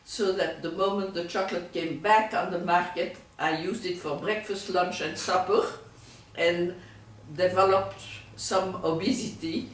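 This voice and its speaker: Slow, echoey older woman remembering. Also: lowish voice, steady tone. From Belgium. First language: French. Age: 80s. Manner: unhurried, with frequent hesitations and restarts. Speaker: oral history interviewee. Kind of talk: reminiscing in a recorded interview. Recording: echoey room, mic far off.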